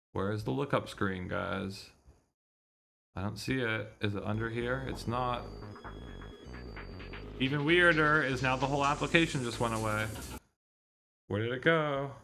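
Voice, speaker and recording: Low, gravelly-voiced man chatting on stream. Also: bright, forceful tone, slight background noise. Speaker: streamer reading a visual novel. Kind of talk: casual livestream chat.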